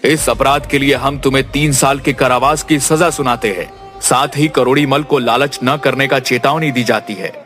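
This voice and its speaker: A man voicing a stern but fair king who gives judgments in a cartoon story, speaking in a measured, commanding way. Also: medium pitch, fairly even intonation, a bit bright, faint music behind, close to an everyday voice. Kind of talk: dubbed cartoon acting.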